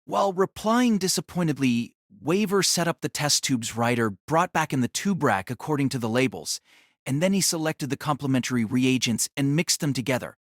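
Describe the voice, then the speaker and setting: A man's voice: medium pitch, animated, moderate pace, no room sound, clean studio sound. A man narrating a novel as a scripted audio drama.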